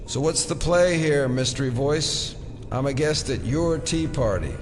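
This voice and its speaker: An actor playing a defiant, sardonic American federal agent held captive in an audio drama, muttering wry asides. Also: male voice, a little high, measured pace, lightly acted. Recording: loud dramatic music behind.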